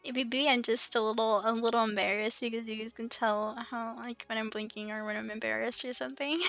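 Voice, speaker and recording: A woman chatting casually on a game livestream, giggly and excitable, full of exclamations. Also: a little high, a little fast, no echo. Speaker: streamer, host of the show. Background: background music.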